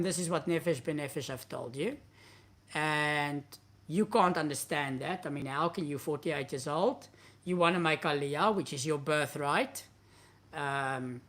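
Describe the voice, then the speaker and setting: A man's voice: fairly high pitch, sharp tone. Afrikaans-accented man in his thirties who hosts a live Q&A from his home study, talking to a webcam; blunt and chatty as he reads and answers viewers' questions. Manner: candid, blunt and conversational, gestures while talking. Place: indoor home study with bookshelves. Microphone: webcam at a desk, seated.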